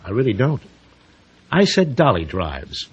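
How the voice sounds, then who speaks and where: Husky, menacing gangster boss. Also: male voice, mid-range voice, wildly swooping pitch, unhurried, full character voice. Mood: cold menace. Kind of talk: old-time radio crime drama acting. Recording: dull, muffled old radio recording.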